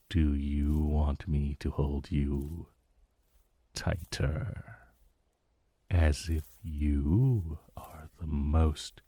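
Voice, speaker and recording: An ancient male dragon acted out by a man speaks in a low, husky murmur, recorded close and dry. He is formal and silkily tender, with lingering pauses and a possessive, faintly threatening edge, as suits a romantic fantasy audio roleplay. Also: darkish tone, no echo, exaggerated voice.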